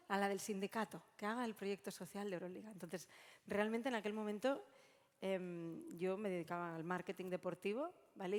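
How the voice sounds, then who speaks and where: A woman's voice: medium pitch, a little mellow. Middle-aged Spanish woman in a reverberant hall. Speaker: panelist, sports sustainability consultant. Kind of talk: conversational panel talk. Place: large conference room. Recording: echoey hall with long reverb.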